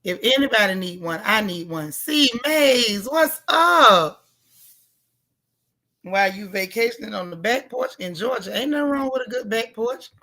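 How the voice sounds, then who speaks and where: A woman hosting a casual live recap chat, with a loud, gravelly, hoarse voice, greeting viewers by name with folksy slang. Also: on the high side, expressive, normal speed. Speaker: livestream host.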